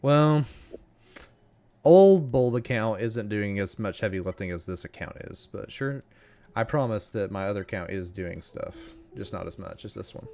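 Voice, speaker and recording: A man's voice: lowish voice, expressive, moderate pace, creaky, darkish tone. A man in his twenties chatting casually while streaming a mobile game, joking and laughing often. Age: 20s. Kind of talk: casual gaming livestream chat.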